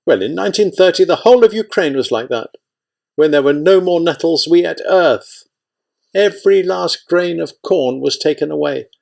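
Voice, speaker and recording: A man's voice: a little high, expressive, moderate pace, creaky, darkish tone, pitched above the performer's own voice. A man voicing an old Ukrainian village woman, kindly but bitter, speaking with pleading indignation. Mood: indignant.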